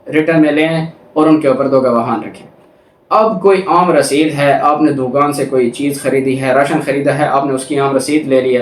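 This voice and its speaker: A man with a Pakistani accent giving a law lecture, reading out clauses and explaining them didactically with questions he answers himself. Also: on the high side. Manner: didactic, poses questions and answers them, code-switches into English. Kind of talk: lecture explaining legal articles, reading clauses then paraphrasing. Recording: echoey room.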